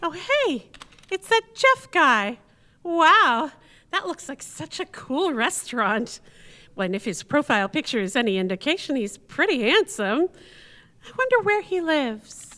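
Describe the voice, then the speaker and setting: Breezy radio play wife, acted by a woman: bright, very lively, self-absorbed, in an echoey hall. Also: high voice, measured pace, full character voice. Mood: oblivious, chirpy. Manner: chirpy, exaggerated, talks to herself. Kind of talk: live radio play acting. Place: senior center hall before live audience. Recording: echoey hall with long reverb.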